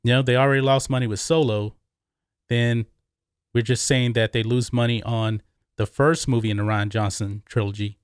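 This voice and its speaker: Man, American accent, medium pitch, emphatic opinionated commentator, repeats phrases for stress, dry sound with no echo. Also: steady tone, normal speed, smooth. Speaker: YouTube movie commentary host.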